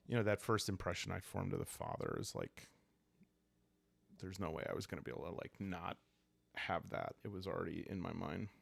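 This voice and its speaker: A man with a low, gravelly voice talks through a solo roleplaying game in a calm, soft-spoken way. He muses and pauses as he makes up the story, in a very dry, close sound. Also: no room sound. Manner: soft-spoken, calm, musing, halting as he thinks aloud. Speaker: solo roleplayer and show host. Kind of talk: calm, improvised narration of a journaling game for relaxation.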